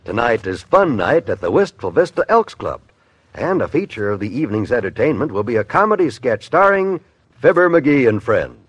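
A man's voice: medium pitch, big pitch swings, normal speed, slightly rough, no echo, muffled recording. A man announcing a comedy program in 1950s radio style, on a vintage radio recording. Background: music.

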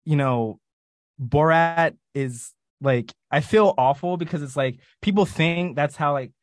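Eager, talkative young co-host. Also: male voice, fairly high pitch, no room sound, studio quality. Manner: enthusiastic and talkative, quick to riff. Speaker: podcast co-host. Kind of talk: casual podcast interview.

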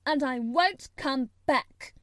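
A woman's voice: sits high, lively intonation, moderate pace, dark tone, no echo, studio quality, pitched above the performer's own voice. A woman voicing a sour, obstinate young girl who retorts fiercely, as in a storybook reading. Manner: defiant, retorting. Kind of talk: audiobook character voice.

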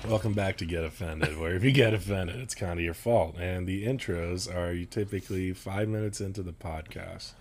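Loud deep-voiced young man chatting. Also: moderate pace, hardly any pauses, music in the background. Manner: loud, laughing, rambling. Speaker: podcast co-host. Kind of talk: casual podcast chat.

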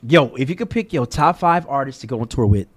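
A man hosting a casual podcast interview in a studio, relaxed and slangy, reading a sponsor plug now and then. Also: mid-range voice, a little fast. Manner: laid-back, loose slang, reads sponsor spots. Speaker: podcast host. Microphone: studio mic.

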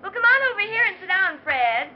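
Teasing flirt, extremely high pitch, coy, vintage radio drama, muffled noisy recording. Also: female voice, sing-song, brisk. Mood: playful, flirty. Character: flirty, playful female neighbor at the beach. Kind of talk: 1940s radio drama acting.

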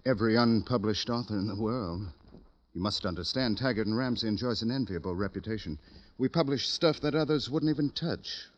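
Man acting pompous low-voiced book publisher. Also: brisk, natural voice. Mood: self-important. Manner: pompous, talkative. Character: self-important, fussy male book publisher, rambling. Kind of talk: 1950s radio drama acting. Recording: dull old radio recording.

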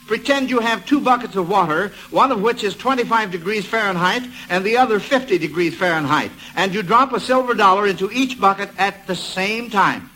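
High, bright-voiced American man hosting radio quiz. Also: a little fast, hardly any pauses, slight background noise, rough recording. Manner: chatty, folksy, addresses children and listeners. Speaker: quiz show host.

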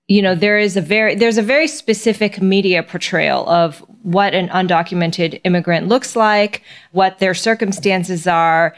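A woman hosts an interview and asks her questions in a thoughtful, measured way. Her voice is smooth and clear, sitting a little low for a woman. She pauses now and then with soft fillers.

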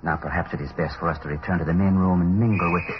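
An actor playing a wary, scheming, formal crime boss in a 1930s radio drama, with careful wording. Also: male voice, deep voice, steady tone, very fast, over music, lightly acted. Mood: conspiratorial. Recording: muffled old radio recording.

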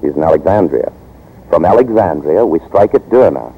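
An actor playing a bold, headstrong young American consul in old-time radio drama, cocky, forceful and plain-spoken, with an American accent. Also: male voice, deep voice, lively intonation, normal speed, husky, heavily acted. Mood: eager, insistent. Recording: dull vintage radio recording.

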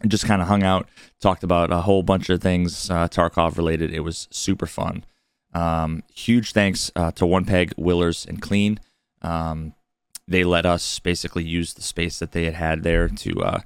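Hoarse man with a very low voice. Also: dry recording. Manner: laid-back, many "uh" fillers. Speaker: podcast co-host. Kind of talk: solo intro recap.

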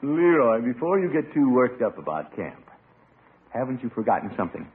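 A man's voice: mid-range voice, big pitch swings, breathy edge, phone-quality sound. A man playing a genial, pompous middle-aged uncle with an avuncular manner in a 1950s radio sitcom. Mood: pompous, probing. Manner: avuncular, drawn-out, self-satisfied. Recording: thin, hissy old radio recording.

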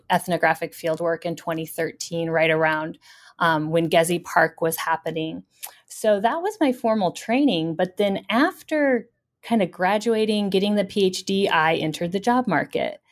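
A woman in her thirties, a professor answering interview questions thoughtfully, with many fillers. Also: lowish voice.

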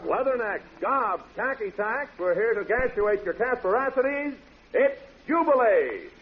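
A man's voice: extremely high pitch, big pitch swings, unhurried, lo-fi sound, noisy background. A man announcing a 1940s radio variety show in grandiose, jokey, flowery jive patter over music on an old recording. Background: loud music.

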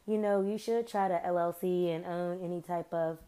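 A woman's voice: on the low side, fairly even intonation, normal speed, warm tone, clean tone. A woman hosting her own podcast in a casual, chatty way, full of slang and rambling asides, her voice a little off from sinus trouble. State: recovering from sinus trouble, voice varies. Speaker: podcast host. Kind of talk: casual solo podcast chat.

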